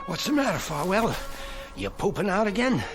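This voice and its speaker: An actor playing a sadistic, greedy thief in a radio drama, with mocking, folksy, slangy taunts. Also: male voice, high voice, big pitch swings, moderate pace, over music. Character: sadistic, greedy male thief taunting his weaker partner.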